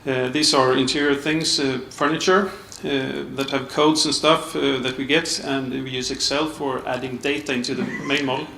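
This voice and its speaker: A man giving a conference panel talk, halting with restarts and many uhs. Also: mid-range voice, little pitch movement, gravelly, pushed and bright. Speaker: panel speaker, architect. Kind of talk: conference presentation. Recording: echoey hall, mic off at a distance, faint room noise.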